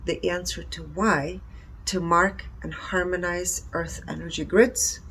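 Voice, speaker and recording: Woman, lowish pitch, gravelly fry, earnest presenter, short emphatic phrases, slight background noise. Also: fairly even intonation, a little slow, fairly bright tone, poor audio. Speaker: presenter reporting energy readings. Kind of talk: presentation reading out findings with personal commentary.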